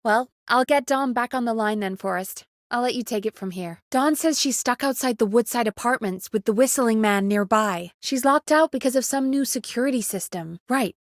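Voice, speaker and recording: Woman acting a friendly radio producer, high voice, very animated, teasing, chatty, video game character, close dry sound. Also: moderate pace, few pauses, no room sound, natural voice. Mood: businesslike.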